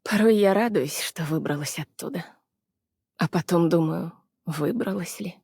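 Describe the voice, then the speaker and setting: An actress voicing a weary, anxious young woman scientist from the frozen north in a game dub, soft and hesitant. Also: lowish voice, measured pace, dry recording, studio quality. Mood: melancholy, weary. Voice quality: soft, husky, breathy. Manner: hesitant, subdued, apologetic. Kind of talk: Russian dub of game character voice lines.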